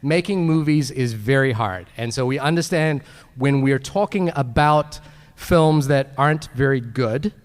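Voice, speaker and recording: A man's voice: medium pitch, unhurried, in a large hall. A man co-hosting a film podcast as a live panel at a convention, addressing the audience with frequent restarts.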